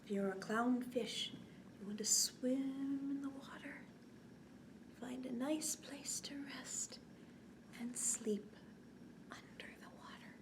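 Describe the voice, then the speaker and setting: A woman in her forties hosting a children's bedtime story time, expressive, drawing out words and often dropping to a hushed, whispery tone. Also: high voice, slow, pauses often, poor audio. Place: indoors, small curtained set. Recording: clear background noise.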